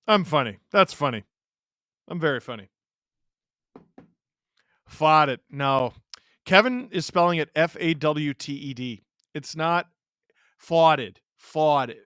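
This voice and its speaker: A man's voice: a little high, lively intonation, takes it slowly, long pauses, a bit bright, clean tone, dry recording, clean studio sound. A man in his thirties from Canada hosting a live hockey watch-along, joking with chat and reacting to plays. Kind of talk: casual live-stream commentary.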